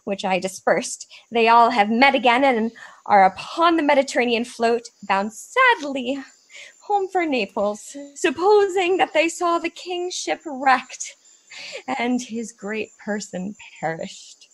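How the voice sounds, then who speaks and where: Woman playing airy spirit servant, kinda high voice, theatrical shakespeare audio drama, eager to please but wants freedom. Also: animated, slow pace, full character voice, poor audio. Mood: eager, proud. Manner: theatrical, deferential, earnest. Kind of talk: Shakespearean radio play acting.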